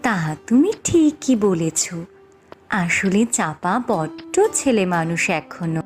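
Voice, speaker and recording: A woman voicing a kindly, knowing fairy in a cartoon, speaking in a measured, reassuring way. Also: lowish voice, sing-song, soft background music, voice pushed down. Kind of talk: fairy-tale cartoon dialogue.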